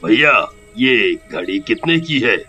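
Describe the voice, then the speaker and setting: A man voicing a sly male ghost who wanders a thieves' market, musing slowly and chuckling, then scolding the thieves. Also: mid-range voice, big pitch swings, sharp tone, husky, soft background music, exaggerated voice. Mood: bargaining.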